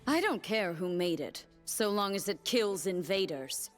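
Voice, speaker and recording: A woman's voice: medium pitch, expressive, measured pace, faint music behind, close to an everyday voice. A woman playing a determined, stern young warrior leader in a video game, speaking in solemn, commanding, clipped declarations. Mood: grim, defiant. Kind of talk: video game character voice lines.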